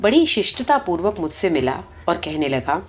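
A woman reading a short story aloud as expressive, scripted audiobook narration. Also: on the low side. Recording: dull, muffled sound.

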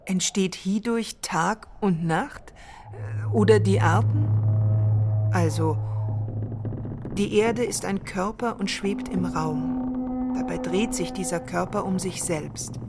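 A woman's voice: mid-range voice, slow. A woman narrating a literary text in a scripted radio play. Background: music.

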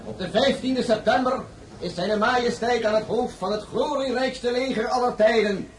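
An actor playing the emperor's pompous royal brother in a radio play, declaiming grandly. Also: male voice, very high voice, moderate pace, reverberant, recorded from a distance, a little hiss or hum, low-quality recording. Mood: triumphant, declaiming.

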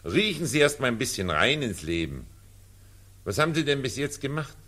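An actor playing a pompous, evasive cabaret director in a 1940s radio drama, talking in a condescending, theatrical, patronizing way. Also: male voice, mid-range voice, sing-song, moderate pace, pushed and bright.

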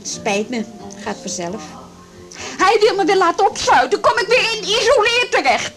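An actress playing a brazen, mocking alcoholic wife in a radio drama, with a provocative, slurring manner. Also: female voice, very high-pitched, very animated, normal speed, fairly bright tone, soft background music, heavily acted. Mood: needling. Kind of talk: Dutch 1980s radio drama acting.

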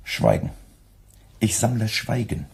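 A worn-out radio editor in a radio play, curt and quietly sardonic. His voice is husky and rather low. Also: very animated, slow pace, exaggerated voice. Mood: tired. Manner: dry, terse, quietly sardonic. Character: weary young male radio editor, dry and withdrawn.

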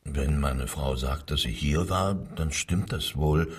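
A male actor playing a frightened, confused murder suspect in a radio crime drama, husky, hesitant and evasive under questioning. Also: extremely low pitch, far from the mic, full character voice. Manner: hesitant, halting, defensive.

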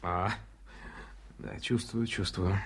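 An actor playing an experienced surgeon in a radio drama, kindly and gently ironic, a little flustered and musing. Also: male voice, sits low, steady tone, takes it slowly, husky, full character voice. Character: experienced male surgeon, kindly, self-mocking, smitten, slightly flustered. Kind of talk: Soviet radio drama acting.

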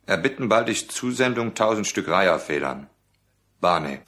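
An actor playing an obedient, clipped office assistant to a company boss in a radio play. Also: male voice, low voice, expressive, moderate pace, a bit bright, slight background noise. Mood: dutiful. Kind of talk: scripted radio drama acting.

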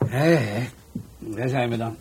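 An actor playing a shrewd, exacting older police commissioner in a Dutch radio play, speaking in a measured, formal, sceptical way. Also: male voice, medium pitch, a little mellow, a little hiss or hum, natural voice. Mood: courteous.

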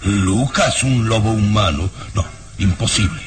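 A man's voice: on the low side, measured pace, lightly acted. An actor playing a scheming older baron in an old radio drama, stammering and flustered as he denies the others' claims. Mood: agitated. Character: scheming older nobleman, a baron, sly and jittery. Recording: radio serial with music underneath.

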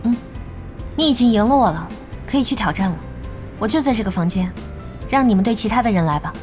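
A woman acting a self-assured young gamer in an audio drama, calm, polite and matter-of-fact. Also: fairly high pitch, fast, faint music behind, close to an everyday voice. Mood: contented, polite.

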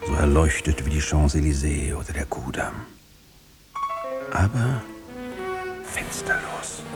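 An actor playing an eerie, theatrical man in a radio drama, halting as he describes a futuristic laboratory and dropping into whispers. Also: extremely low pitch, vocal fry, heavily acted. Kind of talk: German radio drama acting.

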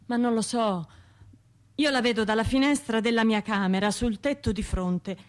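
An actress playing an anxious, self-doubting trauma survivor in a radio drama, talking to herself in broken, halting phrases with a Tuscan accent. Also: female voice, on the high side, close to an everyday voice. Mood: uneasy, hesitant. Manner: halting, fragmented, self-interrupting inner monologue.

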